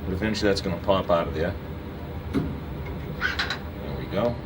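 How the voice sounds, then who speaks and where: A man's voice: deep voice, moderate pace. A man giving an informal, step-by-step hands-on demonstration as a machine shop instructor. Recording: distant mic, noisy machine shop hum.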